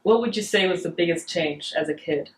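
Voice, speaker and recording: A woman's voice: lowish voice, fairly quick, a little hiss or hum. A woman hosting a podcast, asking questions in a casual, conversational interview style.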